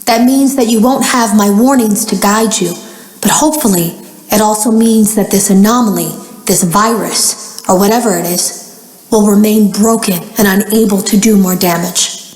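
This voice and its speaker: A woman's voice: mid-range voice, moderate pace, a little mellow, lightly acted. Echoey, grave female developer voiced by an actress. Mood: grave, accusing. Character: anxious female game developer leaving secret warning logs. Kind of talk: recorded log monologue, dramatic game voice acting.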